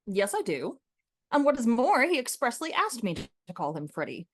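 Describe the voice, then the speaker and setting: A woman's voice: a little high, very animated, moderate pace, slightly rough. A woman playing an opinionated, sardonic young man in a radio play, lecturing in an emphatic, mocking way. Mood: scornful lecturing.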